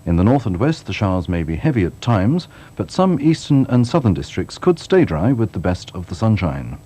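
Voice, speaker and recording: A British man working as a television continuity announcer, reading scripted programme and weather announcements in a measured, courteous, gently wry way. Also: fairly low pitch, very animated. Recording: 1980s television broadcast off videotape.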